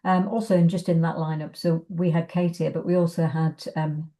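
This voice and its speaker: A woman's voice: deep voice, little pitch movement, brisk, dark tone. A woman chatting casually in an interview, hesitant and thinking aloud as she goes. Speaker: arts organisation guest.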